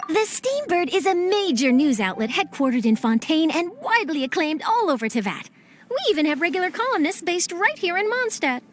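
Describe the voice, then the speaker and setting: A woman's voice: extremely high pitch, very animated, fairly quick, over music. A woman voicing an eager, nosy young female reporter in a game cutscene, chipper and persuasive. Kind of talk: video game cutscene dub.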